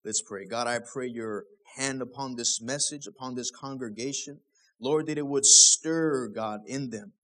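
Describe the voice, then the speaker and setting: A man's voice: medium pitch, measured pace, a bit bright, poor audio. A young man, a pastor, preaching a sermon in a casual, conversational way, full of rhetorical questions.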